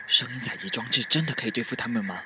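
A man's voice: mid-range voice, animated, racing, noisy background, close to an everyday voice. A man acting a skeptical but caring young adventurer in an audio drama, blunt in manner. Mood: doubtful.

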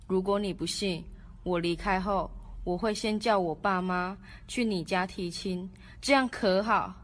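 A woman's voice: medium pitch, measured pace, close to an everyday voice. A woman with a Taiwanese accent playing a devoted young male scholar in a student radio drama, earnest and pleading. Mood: tender, reassuring.

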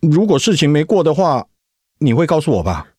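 An actor in a Mandarin radio drama playing a devoted, protective young husband who speaks in a tender, coaxing, sincere way. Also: male voice, fairly high pitch, sing-song, fairly quick, a little mellow, husky, dry recording, clean studio sound. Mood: concerned, probing.

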